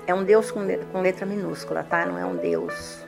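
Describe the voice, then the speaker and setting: A woman in her seventies speaking slowly and deliberately as a guest in a group radio talk. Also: on the low side, steady tone, creaky, music in the background. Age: 70s. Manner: slow, deliberate.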